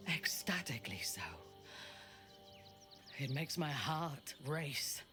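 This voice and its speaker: A woman playing a fierce dark warrior in a video game, breathy at times, intense and adoring. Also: low-pitched, lively intonation, slow pace, music in the background. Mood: enraptured, menacing. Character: fierce, reverent female dark warrior lover.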